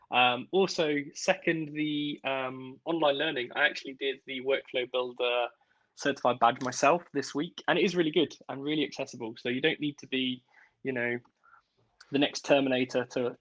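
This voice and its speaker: A man's voice: mid-range voice, a bit bright, dry recording. Enthusiastic male host, dull dry audio. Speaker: event host. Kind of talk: hosting a webinar.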